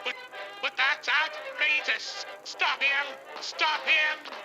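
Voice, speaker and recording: An actor playing a pompous, cowardly governor who stammers and splutters in an audio drama. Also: male voice, very high-pitched, flat delivery, slow, music in the background, full character voice. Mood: outraged.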